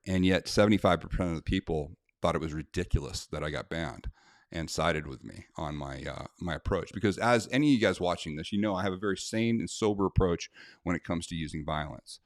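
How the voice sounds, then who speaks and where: Low-voiced American man, blunt and indignant. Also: no room sound, clean studio sound. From United States. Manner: blunt, indignant, conversational with many fillers. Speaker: self-defense instructor. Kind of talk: casual talk to camera, reading a letter aloud.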